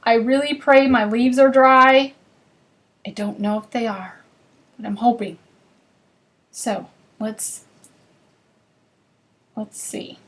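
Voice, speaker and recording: A woman's voice: on the high side, fairly even intonation, slow, pauses often. A woman with a northern US accent, chatting to viewers as she hosts a live card-making class. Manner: chatty and bubbly, chatting with viewers while working. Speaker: craft class host. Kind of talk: live crafting demonstration.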